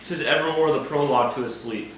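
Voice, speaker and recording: An actor playing a scheming soldier who feigns honest concern, in declamatory Shakespearean stage style. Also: male voice, a little high, quick pace, fairly bright tone, a little hiss or hum, natural voice. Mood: confiding, sly. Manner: theatrical, declamatory. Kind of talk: classical Shakespearean stage acting. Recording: echoey hall recording, music behind.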